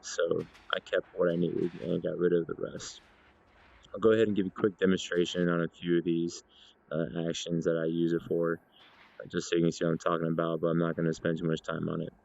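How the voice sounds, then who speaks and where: A man's voice: low-pitched, completely flat delivery, fairly quick, gravelly, a little mellow, highs cut off. A man presenting a casual gaming demonstration, explaining things and correcting himself as he goes.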